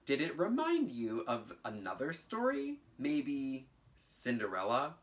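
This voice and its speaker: A man in his thirties teaching children and telling stories in a theatrical, clearly enunciated way. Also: medium pitch, slow. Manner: animated, theatrical, clearly enunciated, sing-song chants. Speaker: teaching artist and presenter. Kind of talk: children's lesson, storytelling and instruction. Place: bedroom at home, indoors.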